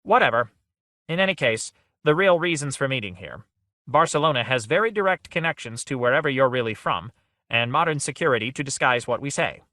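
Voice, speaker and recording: A man's voice: medium pitch, animated, a little fast, bright, forceful tone, no room sound, studio quality, pitched above the performer's own voice. A man voicing a smug, boastful male rabbit avatar with a glib, showy manner.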